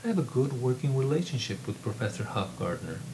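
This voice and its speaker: A man's voice: medium pitch, brisk, noisy background, natural voice. A man voicing a guarded, stoic young female student in a reading of his novel. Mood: flat denial. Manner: flat, detached, stoic.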